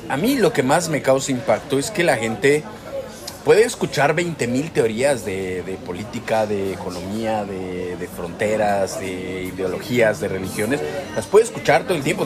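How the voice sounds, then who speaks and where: A man with a Mexican accent in opinionated, casual discussion, speaking in an earnest, emphatic way and often correcting himself. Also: fairly low pitch. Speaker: co-host of a discussion show. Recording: music and crowd noise behind, indoor public space. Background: music and chatter.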